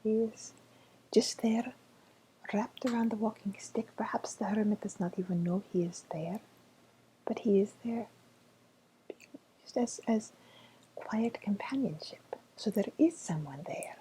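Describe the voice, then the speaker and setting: A woman playing a soft-spoken fortune teller in an ASMR role-play, with a made-up foreign accent and a gentle, hesitant, whispery delivery. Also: mid-range voice, a little hiss or hum, close to an everyday voice, rough recording. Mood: gentle, reassuring. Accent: made-up, indeterminate foreign. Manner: slow, hesitant, gently reassuring, with pauses. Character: softly spoken mystical fortune teller woman reading tarot cards.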